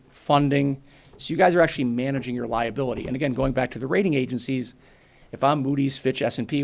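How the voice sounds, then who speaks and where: A man in his forties with a New England accent, giving a brisk, plainspoken financial presentation to a town board and explaining with everyday examples. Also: medium pitch, gravelly. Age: 40s. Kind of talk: financial presentation at a public meeting.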